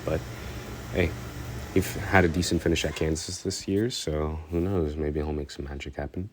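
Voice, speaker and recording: Very deep-voiced congested man rambling. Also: background noise. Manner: rambling, hesitant, many fillers and restarts. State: congested, stuffed up. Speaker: racing commentator. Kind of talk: casual race recap.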